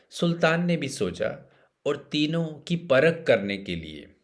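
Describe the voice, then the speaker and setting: A man narrating a scripted bedtime story in a measured way, pausing between phrases. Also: fairly low pitch.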